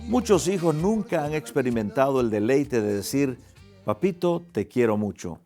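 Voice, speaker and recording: A man's voice: medium pitch, animated, normal speed, dark tone, soft background music. A man hosting a family counseling show, speaking to listeners in an earnest, preacher-like way and then interviewing a guest. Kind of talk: reflective monologue and interview.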